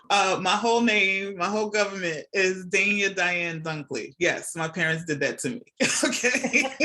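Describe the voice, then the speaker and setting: A woman's voice: fairly low pitch, sharp tone. Chatty middle-aged woman, casual interview guest. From born and raised in Jamaica, moved to the United States as a child. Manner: chatty, often checks in with "right?". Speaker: podcast guest, nurse and academic. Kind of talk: casual conversational interview answers. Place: remote video call from home.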